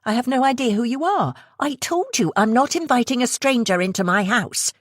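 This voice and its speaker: A guarded young woman who snaps back in short, curt questions, as a female narrator voices her, pitched higher than the reader's own voice. The tone stays wary and defensive, with a lively rise and fall, read close and dry for an audiobook. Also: moderate pace, no room sound.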